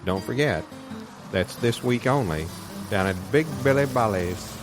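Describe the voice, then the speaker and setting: A man's voice: on the low side, animated, unhurried. A man improvising a folksy, bumbling used car lot salesman who stumbles through his parody radio ad. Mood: bumbling hard sell. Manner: bumbling salesman patter, stumbling over big words. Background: guitar music.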